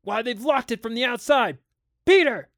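Man acting a fed-up, forceful father. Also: sits high, lively intonation, measured pace, no echo, clean studio sound, voice pushed up. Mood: frantic, shouting. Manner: forceful, insistent. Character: exasperated stern father, fed up, barking orders. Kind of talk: dramatic storybook narration.

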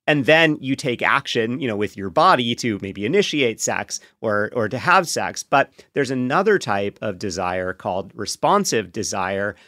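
A man speaking as a podcast guest, explaining things candidly in a reflective, measured, conversational way. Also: medium pitch, animated, sharp tone, no echo, clean studio sound. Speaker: podcast guest, co-author and partner of a sex therapist.

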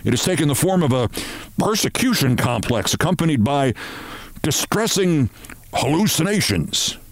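A man's voice: on the high side, sing-song, no room sound, pitched above the performer's own voice. A man voicing a pompous older male boss in a story, formal and regretful, hemming and hesitating as he goes. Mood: embarrassed. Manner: halting, formal, hemming with ums and uhs. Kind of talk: storybook character voice.

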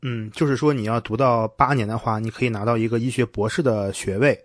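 A man's voice: mid-range voice, fairly even intonation, quick pace, no room sound, studio quality. A man hosting a podcast, asking questions in a casual conversational way. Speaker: podcast host, interviewer.

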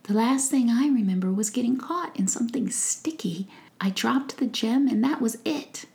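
Woman voicing a small worn-out fairy girl, high and breathy. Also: normal speed. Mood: downcast. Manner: soft, shaky and breathy. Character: small weary young female fairy.

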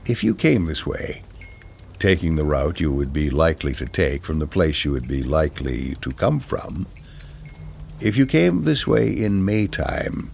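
A man gives a solemn poetry recitation in a very deep voice full of creak. He phrases deliberately and unhurriedly, letting weighty pauses fall between lines, with faint noise behind him. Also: darkish tone. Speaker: poetry reader.

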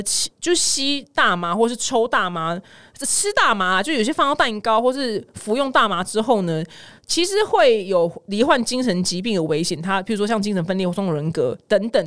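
A woman with a Taiwanese Mandarin accent hosting a casual, comedic news chat, talking in an animated, exaggerated way. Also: medium pitch, dry recording, studio quality. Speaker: podcast host.

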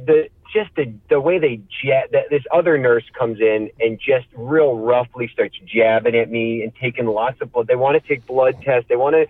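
A man telling a casual, animated comic story in a halting, rambling way, acting out bits of dialogue. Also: medium pitch, no room sound, phone-quality sound.

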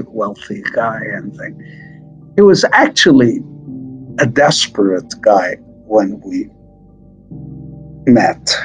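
A man answering interview questions slowly, with hesitant fillers and restarts. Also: mid-range voice, highs cut off. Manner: slow, halting, with many fillers. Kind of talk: recorded interview.

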